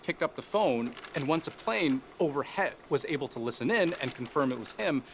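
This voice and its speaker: A man's voice: on the high side, expressive, fairly quick, a little hiss or hum. A man hosting a news explainer in a conversational scripted style, posing rhetorical questions and stressing key phrases. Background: faint music.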